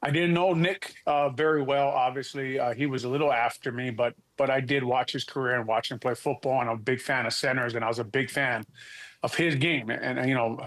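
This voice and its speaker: A middle-aged man from Hawaii, a former football player, talking in a relaxed, halting way as an interview guest. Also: medium pitch. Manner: easygoing, halting, many restarts. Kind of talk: casual interview talk.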